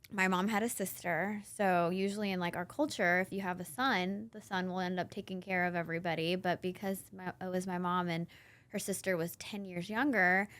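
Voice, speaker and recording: Chatty, animated woman in her thirties. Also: medium pitch. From Northern Virginia, USA. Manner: chatty, animated storyteller, laughs often. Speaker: podcast guest. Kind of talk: casual interview chat.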